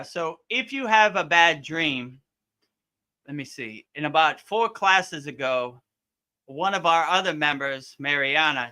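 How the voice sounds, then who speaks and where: A man with a strikingly bright, forward voice and a clean, smooth tone, pitched a little high. He talks informally as a teacher taking questions live, often circling back to restate what he has said. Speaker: yoga teacher hosting a live Q&A. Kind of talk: casual live Q&A chat.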